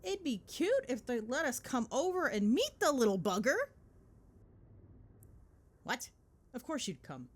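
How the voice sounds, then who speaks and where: A woman's voice: high-pitched, very animated, a little slow, pauses often, exaggerated voice. A woman playing a chatty, gossipy girlfriend in a casual ASMR phone-call roleplay, with playful, sweary, dramatic griping. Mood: chatty, fond. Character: chatty, gossipy girlfriend venting on the drive home.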